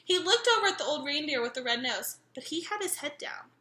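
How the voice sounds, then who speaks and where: A woman's voice: high voice, expressive, a little fast, bright, forceful tone, echoey room. A woman reading a children's picture book aloud expressively, engaging her young listeners as she goes. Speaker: read-aloud storyteller.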